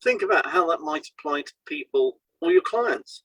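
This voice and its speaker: A man co-hosting an educational podcast, reading scripted explanations in a measured, explanatory way. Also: a little high, lively intonation, a bit bright, no echo, poor audio. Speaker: podcast co-host and hypnotherapist.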